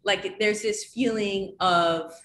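A woman's voice: medium pitch, steady tone, slow, a bit bright, a little hiss or hum. A young woman speaking as an interview guest over a video call, fluent and casual with frequent "like.". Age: young adult. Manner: fluent, uses "like" often, gestures while talking. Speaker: guest, creative strategist. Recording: roomy video call audio.

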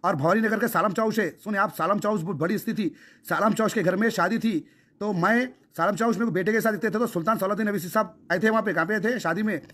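A man's voice: high voice, fairly bright tone. A man with a Hyderabadi accent talking insistently and emphatically on a recorded phone call, often repeating himself. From Hyderabad, India. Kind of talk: phone conversation, impassioned persuading.

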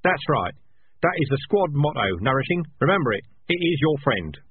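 A blunt, barking rat drill sergeant, put on by a man in a storybook reading, with a husky, expressive delivery. The sound is thin and phone-like. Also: fairly high pitch, normal speed, dry recording, voice pushed up, heavily acted. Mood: commanding. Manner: gruff, commanding.

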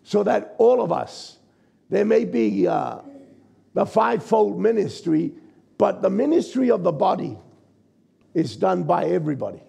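A man in his sixties, a pastor from New Zealand, preaching a sermon from a church stage with a croaky, gravelly voice and a conversational manner. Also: sits high, big pitch swings, unhurried, mellow. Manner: conversational preaching, checks in with the congregation. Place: church stage, large indoor hall. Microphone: stage mic at a lectern, far camera.

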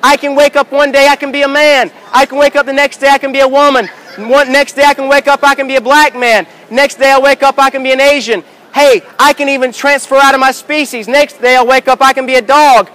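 A man in his thirties preaching outdoors in a busy city square, shouting with emphatic, repetitive rhetorical questions. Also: very high voice, little pitch movement, brisk, few pauses, low-quality recording. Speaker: street preacher. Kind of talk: open-air sermon. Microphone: far handheld camera, no mic.

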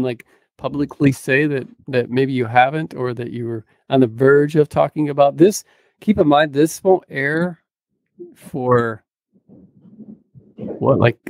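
A man's voice: mid-range voice, vocal fry, a little mellow, no echo. A middle-aged man co-hosting a podcast, chatting in a laid-back, colloquial way into a close mic. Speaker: podcast co-host. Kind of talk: casual chat. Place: small cluttered home room. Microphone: close studio mic on boom arm.

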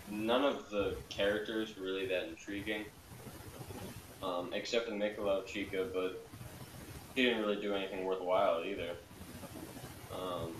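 A man giving a halting, filler-heavy opinion on a story in casual chat. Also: deep voice, echoey room, recorded from a distance, background noise. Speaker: guest reviewer.

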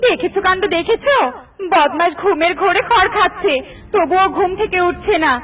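Nagging cartoon bird wife acted by a woman, very high and shrill, bossy, quick to threaten, faint music underneath. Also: recorded from a distance. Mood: exasperated. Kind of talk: Bengali children's cartoon dialogue.

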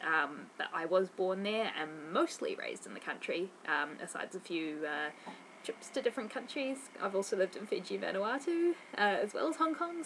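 A woman's voice: mid-range voice, a bit bright, a little hiss or hum, low-quality recording. A young woman with a New Zealand accent, chatting casually to her webcam in her bedroom as she answers viewer questions, rambling with lots of ums and restarts. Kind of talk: casual chat. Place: bedroom, indoors. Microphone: webcam at desk.

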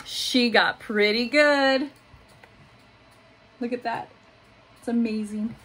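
A woman in her thirties reading a children's picture book aloud in simple, deliberate phrases, adding little asides for young listeners. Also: fairly high pitch, a bit bright, faint music behind. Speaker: school staff member reading aloud to pupils. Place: small home office room, indoors. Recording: noticeable room noise behind voice.

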